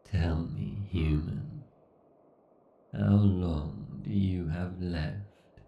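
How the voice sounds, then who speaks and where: A muffled, distant-sounding recording carries a villainous AI god , acted by a man, obsessed and menacing. The voice is thick with gravel, brooding through a horror roleplay monologue with deliberate pauses between phrases. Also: low-pitched, fairly even intonation, exaggerated voice. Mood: menacing, grandiose. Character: obsessed, villainous male AI god ruling a scorched apocalypse.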